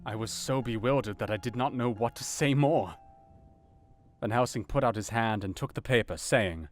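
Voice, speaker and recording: An actor playing a grave, conscientious doctor, narrating his own account in a measured, formal, sombre way in an audio drama. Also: mid-range voice, soft background music, lightly acted. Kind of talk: dramatized first-person narration.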